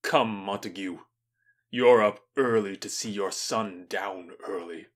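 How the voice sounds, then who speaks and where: A commanding ruler voiced by a man sits in judgment in an audio tragedy. His voice is fairly low, stern and deliberate, full of authority. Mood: grave, commanding. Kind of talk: modernized Shakespeare audio drama acting.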